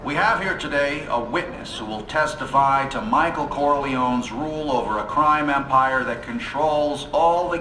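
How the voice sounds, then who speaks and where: A man's voice: medium pitch, bright, forceful tone, roomy sound, a little hiss or hum, poor audio. An actor playing a stern senate committee chairman running a hearing formally in a game cutscene. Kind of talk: video game cutscene acting.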